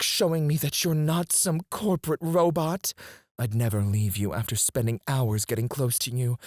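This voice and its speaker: A man playing an obsessive, controlling CEO in an intimate ASMR roleplay, speaking in a deliberate, condescending, menacingly doting way with a breathy voice. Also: medium pitch, a little mellow, no room sound, clean studio sound, lightly acted. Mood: mocking, playful. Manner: slow, deliberate, condescending, swinging between murmurs and outbursts.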